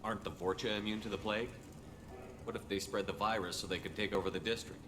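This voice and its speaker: An actor playing a steady, compassionate human hero in a video game, speaking in a direct, calm, matter-of-fact way. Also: male voice, lowish voice, quick pace, roomy sound, background noise, natural voice. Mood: steady, questioning. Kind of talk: video game dialogue acting.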